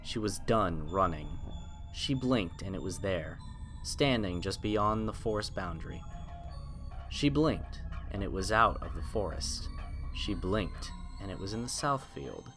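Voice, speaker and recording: A man's voice: low voice, lively intonation, a little slow, fairly bright tone. A man reading a horror story as a scripted dramatic narration, solemn and suspenseful, drawing out key phrases. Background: music.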